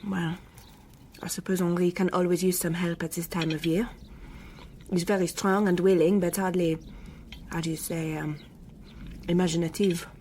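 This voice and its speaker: An actress playing a cool, sceptical wife in a radio drama, speaking. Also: female voice, on the low side, level tone, moderate pace, pauses often, dark tone, slight background noise, natural voice. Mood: dry, resigned. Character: Eloise, sceptical, cool French wife of the protagonist. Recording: faint household background ambience.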